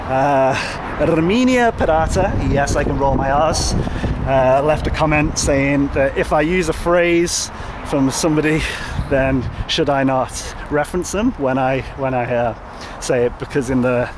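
A man rambles easily through a casual vlog as he walks, pausing on fillers and restarting his sentences. His voice sits a little high for a man. He is recording on a handheld phone outdoors, with wind and passing cars clearly heard behind him. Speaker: vlogger. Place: outdoors, walking by a road with traffic. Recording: outdoor wind and traffic noise.

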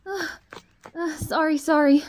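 A woman's voice: high voice, steady tone, measured pace, a little hiss or hum, voice pushed up. A woman voicing a composed, teasing, affectionate teenage schoolgirl in an amateur comic fandub. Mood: gentle.

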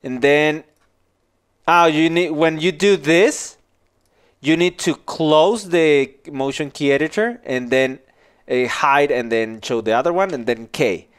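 A man in his forties giving an informal software tutorial, explaining casually step by step into a headset microphone in a small office. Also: on the high side, slow, smooth. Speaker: animation tutorial instructor.

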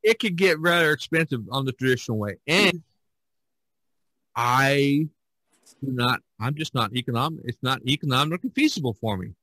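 A man's voice: mid-range voice, animated, moderate pace, bright, forceful tone, no echo. A man hosting a casual podcast discussion as a painter, talking in a rambling, chatty way.

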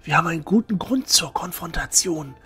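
A man playing a righteous, stubborn young temple novice in a fantasy audio drama, speaking in a hushed voice. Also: fairly high pitch, animated, a little fast, slightly rough, faint music behind, exaggerated voice. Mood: indignant whisper.

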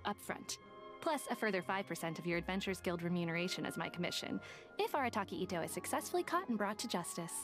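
A woman plays a shrewd young detective boss in an anime game. She is brisk and self-assured, with very varied intonation. Music plays behind her. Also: lowish voice, expressive, close to an everyday voice. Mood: businesslike. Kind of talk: anime video game dialogue.

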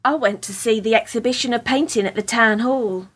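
A woman's voice: fairly high pitch, a little fast, fairly bright tone, slightly rough. A British woman playing an earnest, starstruck theatre fan in a radio play, prim and gushing.

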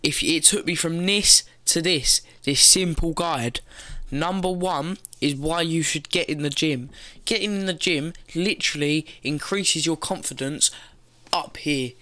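Teenage boy, bright voice, casual rambling, swears, bedroom, headset mic. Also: fairly high pitch, measured pace. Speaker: fitness vlogger. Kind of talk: casual talking-head advice. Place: bedroom, indoors. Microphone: gaming headset.

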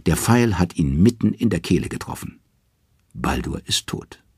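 A man narrating a mythic tale in dramatic, scripted storytelling style with a gravelly, husky voice. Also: sits low, very animated, moderate pace, darkish tone, no room sound, clean studio sound.

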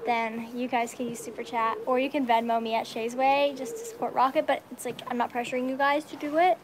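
A young American woman with a high-pitched voice chats casually to her livestream. She is excitable and full of slang. The sound comes from a phone held at arm's length in a horse stall, with faint barn noise around her. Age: young adult. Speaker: livestreaming vlogger. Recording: noisy barn ambience, handheld phone.

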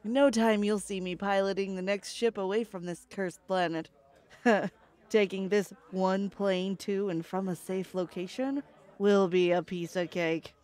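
A woman's voice: mid-range voice, fairly even intonation, measured pace, darkish tone, close to an everyday voice. An actress playing a fierce, foul-mouthed, hopeful woman pilot in an apocalyptic sci-fi audio drama. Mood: warm, confident. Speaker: voice actor.

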